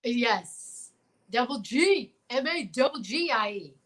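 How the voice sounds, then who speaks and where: A woman co-hosts a casual comic chat show with a forceful, bright voice that sits fairly high. She talks in an animated, jokey way, gushing as she tells her stories. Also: slow. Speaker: show co-host. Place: remote livestream from quarantine at home.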